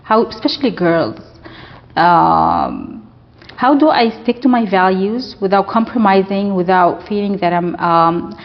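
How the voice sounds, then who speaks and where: A woman's voice: medium pitch, sing-song, unhurried, creaky. A woman with a mid-range voice hosts a casual interview, asking questions and affirming her guest's answers. The audio sounds a little dull and muffled. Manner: warm, encouraging, affirming. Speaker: host.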